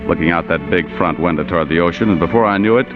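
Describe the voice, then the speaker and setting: A man's voice: sits low, very fast, music in the background, close to an everyday voice. A man acting a cynical, tough private detective in 1940s radio noir style, with clipped, sardonic slang. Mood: wry narration. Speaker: actor in a radio drama. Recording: muffled, noisy old radio broadcast.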